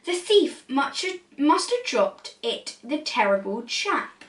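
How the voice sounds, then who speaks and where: High-voiced woman reading a children's story. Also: very animated, slow pace. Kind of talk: expressive read-aloud for young children. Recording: roomy echo, distant from mic.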